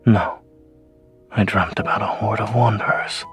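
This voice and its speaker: A man playing a calm, dry-witted doctor in a romantic audio drama, speaking in a measured, soft and deadpan way. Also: lowish voice, faint music behind. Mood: soft, tender.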